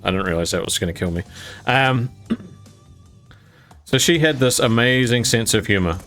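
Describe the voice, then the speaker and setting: A man's voice: medium pitch, animated, moderate pace, a bit bright, faint music behind. A young adult man telling a personal story to camera, rambling with fillers and laughing along as he goes.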